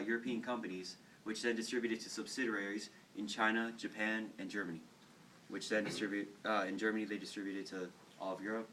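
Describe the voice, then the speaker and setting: A teenage boy with a fairly low voice rambles through an informal story, repeating words and leaning on fillers. He is recorded from some distance, with faint background noise. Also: fairly bright tone. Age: teens. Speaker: student storyteller. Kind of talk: casual informal talk to classmates.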